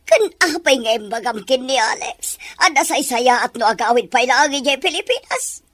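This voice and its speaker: Woman acting high-voiced anguished mother in a melodrama. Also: expressive, moderate pace, a bit bright, dry recording. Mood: agitated.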